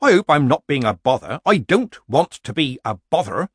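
Old storybook witch, acted by a male reader: meek, innocent-sounding woman, raised pitch, plaintively apologetic. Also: very animated, moderate pace, breathy edge, dry recording. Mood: innocently plaintive.

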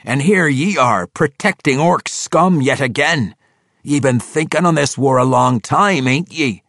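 A grizzled, suspicious old dwarf veteran, lifted well above the reader's own voice. He drawls accusations in a gruff brogue, recorded close and dry. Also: medium pitch, unhurried, a bit bright, dry recording, voice pushed up. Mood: accusing.

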